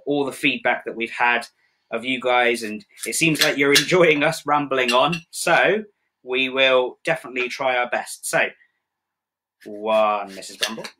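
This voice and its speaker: A man's voice: mid-range voice, a little slow, pushed and bright, slight background noise. A young man hosting a children's cooking demo in a chatty, encouraging way. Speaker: children's show host. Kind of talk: cheerful kids' cooking demo.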